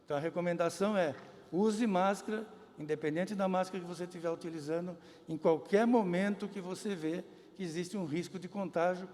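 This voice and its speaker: A man, a health official, explaining in measured answers at a press conference. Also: high-pitched, a little mellow. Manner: explanatory, measured, occasional restarts. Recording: reverberant hall.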